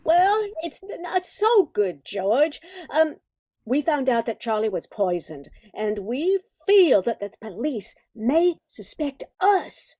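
A woman's voice: high-pitched, sing-song, slow, darkish tone, heavily acted. An older woman playing an uneasy, protective grown daughter in an amateur radio drama.

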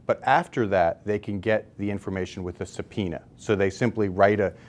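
A man's voice: lowish voice, brisk, darkish tone. A man in his forties with an American accent, an expert guest giving conversational explanations with emphasis on key words. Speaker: expert guest, civil liberties advocate. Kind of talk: explanatory interview answers. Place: newsroom studio, seated at table. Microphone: lapel.

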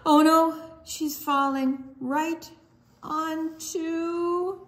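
A woman reading a picture book aloud to young children, expressively, drawing out words and slipping into hushed asides. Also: very high-pitched, steady tone, very slow. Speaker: children's storytime reader.

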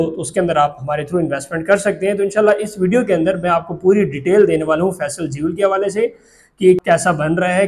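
A young man with a Pakistani Urdu accent presenting property prices to camera in a steady, explanatory sales pitch, recorded indoors in an office on a lapel mic. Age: young adult. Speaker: real estate agent.

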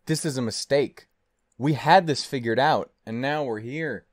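A man in his thirties giving casual, rambling reaction commentary as a host, swearing and halting as he digresses. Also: mid-range voice, no room sound, clean studio sound. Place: small furnished room at home, indoors. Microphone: close desk condenser microphone.

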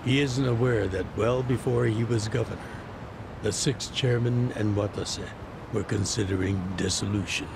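A gravelly old ex-yakuza boss. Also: male voice, on the low side, steady tone, noisy background. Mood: calm, reflective. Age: older. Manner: slow, measured, reflective. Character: older retired male yakuza boss, weary and fatherly. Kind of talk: video game cutscene dub.

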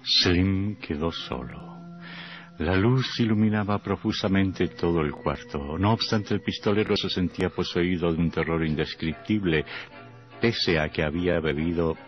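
An actor playing a sinister storyteller, narrating radio horror with theatrical, morbid relish. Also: male voice, deep voice, measured pace. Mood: macabre relish. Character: sinister male horror storyteller, gleefully macabre doctor. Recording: dull old radio recording with music.

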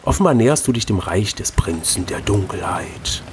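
A man reading an adventure gamebook aloud as host, switching between expressive narration and casual chat. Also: low voice, normal speed, background noise.

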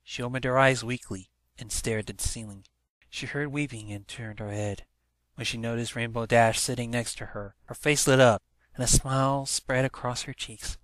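A man's voice: medium pitch, steady tone, normal speed, fairly bright tone, dry recording. A man reading a story aloud as narrator, in a dramatic storytelling manner. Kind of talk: scripted story narration, fan fiction read-aloud.

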